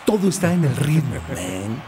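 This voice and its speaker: An actor playing a laid-back, suave young star footballer in a cartoon, cool, easygoing and slangy. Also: male voice, on the high side, big pitch swings, moderate pace, mellow, slight background noise. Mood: smooth, cool. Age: young adult. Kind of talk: cartoon dub acting.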